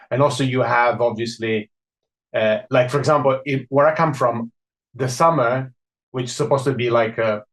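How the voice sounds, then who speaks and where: A man's voice: mid-range voice, low-quality recording. Earnest man, rambling interview questions. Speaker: podcast host.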